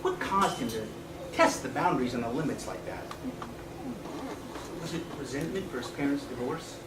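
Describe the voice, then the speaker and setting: An actor playing a weary, regretful middle-aged man in a live stage play, speaking in a reflective, halting, defensive way. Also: mid-range voice, lively intonation, natural voice. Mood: reflective, brooding. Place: small theatre stage, indoors. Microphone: far camera. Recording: noisy, echoey theatre stage from far off.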